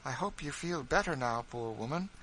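A man's voice: fairly high pitch, big pitch swings, a little slow, pushed and bright, slight background noise, pitched above the performer's own voice, exaggerated voice. A man voicing a kindly, earnest senator's wife with a soothing manner in a storybook reading. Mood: compassionate.

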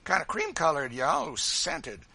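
A man voicing a big, lively, talkative prankster in a storybook, teasing in exclamatory rustic dialect. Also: on the high side, unhurried, gravelly, husky, pitched above the performer's own voice, full character voice. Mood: mocking glee. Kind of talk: dramatized storybook reading.

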